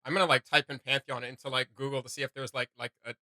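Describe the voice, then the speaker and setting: A man's voice: mid-range voice, level tone, fast talker, pushed and bright, dry recording. A man hosting a reaction show, giving casual, rambling commentary with a jokey streak.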